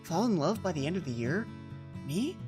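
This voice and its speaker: A woman acts out a modest teenage girl new at school in a visual novel. She is hesitant and earnest, with lively intonation over clearly audible music. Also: lowish voice, normal speed. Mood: wondering. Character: shy, modest, self-doubting teenage transfer student girl.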